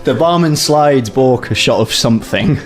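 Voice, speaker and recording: A man's voice: medium pitch, moderate pace, soft background music. A man narrating as game master of a tabletop game. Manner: animated, narrating.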